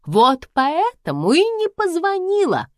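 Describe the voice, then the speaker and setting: A woman voicing a capricious, theatrical mother in her seventies, whiny and melodramatic, with a lively, swooping pitch. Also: unhurried, dry recording, clean studio sound, heavily acted. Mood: offended. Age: 70s.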